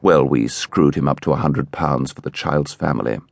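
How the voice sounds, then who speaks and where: A man playing an indignant English gentleman who recounts a horrible incident in a vivid, emphatic way, as audiobook storytelling. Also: a bass voice, moderate pace, creaky, no echo, clean studio sound, pitched below the performer's own voice, exaggerated voice. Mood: confiding.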